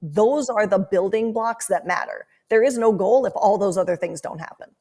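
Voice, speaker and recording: A woman's voice: mid-range voice, moderate pace, mellow. A woman speaking as a guest sports analyst in a podcast interview, explaining her thinking conversationally.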